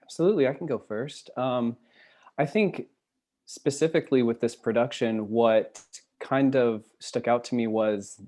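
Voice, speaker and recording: Young man, mid-pitched, articulate and earnest. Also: measured pace, warm tone. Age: young adult. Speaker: student scenic designer. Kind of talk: explanatory panel talk. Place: remote video call.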